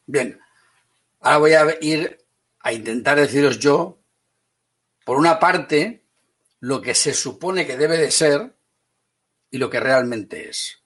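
A man from Spain with a Spanish accent teaching an online class, brisk and teasing, calling on students by name. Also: medium pitch, fairly bright tone, husky.

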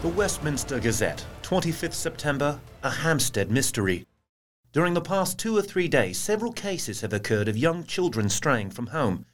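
An actor playing a sober Victorian newspaper reporter, reading in a measured, formal dramatic style. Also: male voice, on the high side, sing-song, faint music behind. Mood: sober reporting.

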